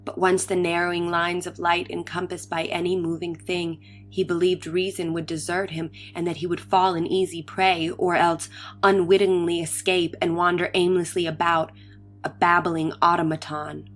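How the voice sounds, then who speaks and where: A woman's voice: on the low side, level tone, moderate pace, soft background music. A woman narrating an early science fiction story as a scripted dramatic reading.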